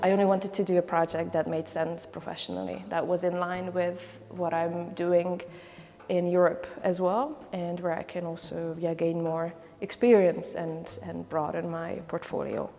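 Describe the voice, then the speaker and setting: A woman's voice: fairly low pitch, little pitch movement, normal speed, gravelly, dark tone, long reverb tail. A woman from Hungary, a volunteer trainer and career counselor, talks through her project in a testimonial interview, thoughtful and full of hesitations and restarts. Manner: thoughtful, many fillers and restarts.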